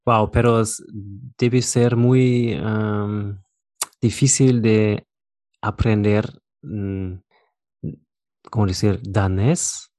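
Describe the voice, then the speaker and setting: A woman's voice: extremely low pitch, steady tone, takes it slowly, long pauses, mellow, no echo. A woman, a native German speaker, chatting casually as an interviewer. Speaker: interviewer and conversation partner. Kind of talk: casual bilingual chat.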